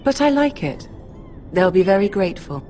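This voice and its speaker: An actress playing a shrewd young noblewoman in a fantasy game, gracious and archly polite, speaking in a measured courtly way. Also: medium pitch, sing-song, a little mellow, faint music behind, natural voice. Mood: arch, pleased. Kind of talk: dramatic fantasy game acting.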